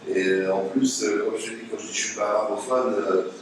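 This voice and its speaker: A man's voice: on the low side, fairly even intonation, very echoey. Man, artist being interviewed, frank, stop-start delivery, distant mic, noisy background. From Tunisia. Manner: halting, many restarts, blunt and frank. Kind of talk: casual conversation.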